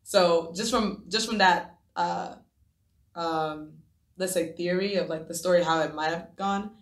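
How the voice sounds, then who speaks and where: A woman's voice: fairly low pitch, unhurried, roomy sound. A woman in her twenties from Japan, a podcast guest telling her story in a casual, rambling way with many restarts. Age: 20s.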